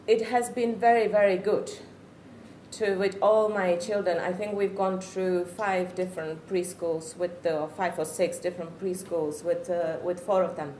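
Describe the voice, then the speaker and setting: A woman's voice: on the low side, fairly even intonation, moderate pace, dark tone. A middle-aged woman from the Czech Republic with a Czech accent, a Czech native speaker, giving an earnest, reflective personal testimony as a parent and searching for her words. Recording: echoey room with faint noise.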